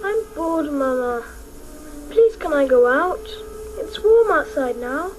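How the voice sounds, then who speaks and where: A woman's voice: high voice, expressive, takes it slowly. A woman playing a plain-spoken, defiant young boy in a radio drama, with a direct, childlike manner. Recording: faintly noisy old radio broadcast.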